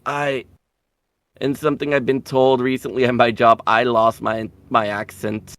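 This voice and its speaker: A man's voice: mid-range voice, level tone, a little slow, a bit bright. A young man from Puerto Rico talking casually as an interview guest, rambling and self-deprecating, with the odd swear word. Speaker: streamer guest.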